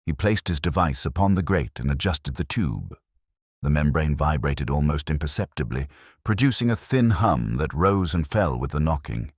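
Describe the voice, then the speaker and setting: Very deep, husky male storyteller. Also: expressive, moderate pace, no room sound, clean studio sound. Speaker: audiobook narrator. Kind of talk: scripted first-person mystery story narration.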